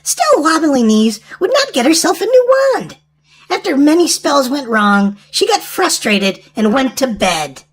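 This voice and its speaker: High, wildly animated woman storyteller. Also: measured pace, slight background noise. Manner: animated, exaggerated sing-song delivery to children. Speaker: children's storytime host and reader. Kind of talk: read-aloud storybook narration for young children.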